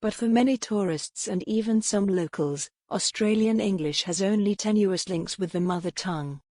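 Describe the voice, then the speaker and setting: A woman's voice: medium pitch, normal speed, a little mellow, no echo, clean studio sound. A woman reading a prepared passage aloud as a model for exam practice. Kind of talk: scripted read-aloud narration.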